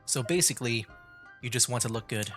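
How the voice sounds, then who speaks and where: A streamer who jokes back at the characters in casual, wry commentary over a game. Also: male voice, medium pitch, a little fast. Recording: game music faint behind.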